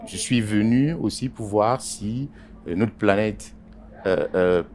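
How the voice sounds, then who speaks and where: A man, a journalist, answering interview questions in a halting way with many pauses and repeated words. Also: on the low side, darkish tone. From Congo Basin. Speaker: journalist and editorial writer.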